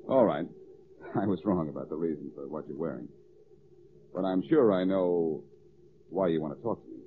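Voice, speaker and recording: A man's voice: low-pitched, moderate pace, a little hiss or hum, natural voice. Man acting a suave radio detective, muffled recording. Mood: teasing, confident. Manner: flippant, smooth-talking, teasing.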